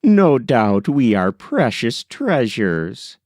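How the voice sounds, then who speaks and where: A man voicing a frank, cheerful young gentleman in a novel, with an animated, bantering manner. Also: mid-range voice, drawn-out words, no echo, clean studio sound. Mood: teasing.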